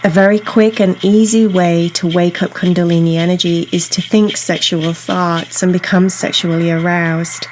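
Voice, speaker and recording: A woman guiding a meditation in a soothing, unhurried, instructional way, leaving pauses between phrases. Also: lowish voice, flat delivery, a little hiss or hum, poor audio. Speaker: meditation guide. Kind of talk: guided meditation narration. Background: soft ambient meditation music.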